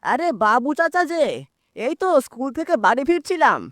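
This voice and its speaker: Woman as a high-voiced, anxious young schoolmaster. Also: animated, moderate pace, fairly bright tone, no echo, clean studio sound. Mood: cold, relieved. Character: young male village schoolmaster, cold, anxious, grateful.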